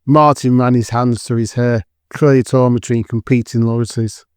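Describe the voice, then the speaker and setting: Even-toned male drama narrator. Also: medium pitch, moderate pace, clear, resonant tone, dry recording, studio quality.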